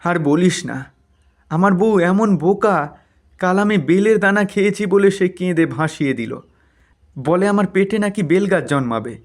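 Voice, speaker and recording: A man's voice: high-pitched, measured pace, voice pushed up. A man voicing an exasperated young village husband in a cartoon story, emphatic and complaining.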